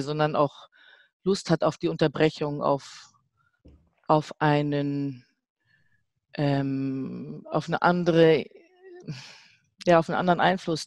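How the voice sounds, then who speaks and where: Raspy, higher man speaking searchingly. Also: a little mellow, dry recording, clean studio sound. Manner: searching, fillers, restarts. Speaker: panel guest. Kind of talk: discussion answer.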